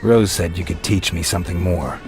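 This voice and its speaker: Very deep, dry-witted vampire played by a man. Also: moderate pace, natural voice. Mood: guarded, dry. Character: deadpan amnesiac newly turned male vampire, gruff and wry. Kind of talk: video game cutscene acting. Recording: music behind the voice.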